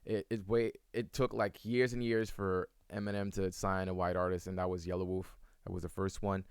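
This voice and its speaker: A young man, a native French speaker, talks casually to his chat in a low, smooth voice. He rambles, full of ums and restarts. The sound is close and dry. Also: level tone, moderate pace, no room sound. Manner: rambling, many fillers and restarts. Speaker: streamer answering chat. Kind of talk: casual live-stream Q&A.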